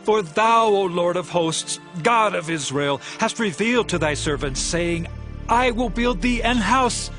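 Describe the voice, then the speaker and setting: A man's voice: sits high, expressive, a little slow, a bit bright. A man playing a humble, devout king at prayer in a dramatized audio Bible, reverent and impassioned. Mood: fervent, pleading. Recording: faint music bed behind voice.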